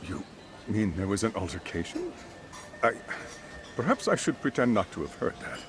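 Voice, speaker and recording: A man's voice: on the low side, wildly swooping pitch, normal speed, noisy background. An actor playing a polite, formal middle-aged private school chairman in a video game cutscene, speaking in careful, wordy sentences. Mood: taken aback.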